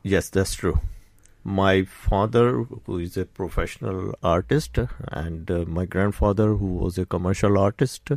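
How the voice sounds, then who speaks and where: A man in his sixties with a Pakistani accent talks in a radio studio interview. His voice sits low, and the sound is dry and close. He speaks haltingly, with frequent pauses and fillers. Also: gravelly, a little mellow, dry recording. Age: 60s. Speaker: guest, doctor and painter.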